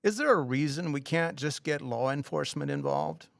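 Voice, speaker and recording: Creaky-voiced, grudging tour company boss. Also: male voice, on the high side, normal speed, no echo, clean studio sound. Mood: skeptical. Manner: skeptical, grudging, formal. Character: reluctant, fussy, rule-minded walking tour company boss. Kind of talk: audio drama acting.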